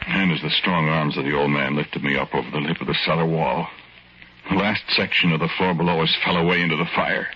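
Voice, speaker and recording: Low, grave man narrating radio drama. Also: fairly even intonation, a little fast. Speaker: first-person narrator. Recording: dull old radio recording, loud music behind.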